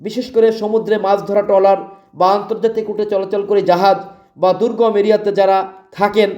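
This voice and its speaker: A man with a Bangladeshi accent delivering an emphatic, repetitive promotional sales pitch as a product advertiser. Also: level tone, a little fast, a little mellow. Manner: emphatic, repetitive, listing features.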